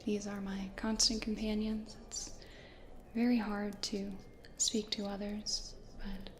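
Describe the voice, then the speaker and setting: A woman voicing a gentle, awkward, old-fashioned plant-loving ghost in an ASMR roleplay, soft, formal and half-whispered, in a roomy recording. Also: mid-range voice, little pitch movement, takes it slowly, lightly acted. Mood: shy, musing. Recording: roomy echo, faint noise.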